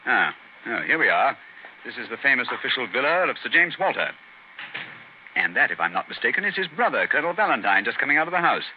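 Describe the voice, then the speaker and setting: A muffled, lightly hissy 1930s radio recording of a man playing a keen-minded detective. His delivery swings widely in pitch, clipped and theatrical. He sounds brisk and sure of himself. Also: very animated, natural voice. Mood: confident, analytical. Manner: clipped, theatrical, deductive pronouncements. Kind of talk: 1930s radio drama acting.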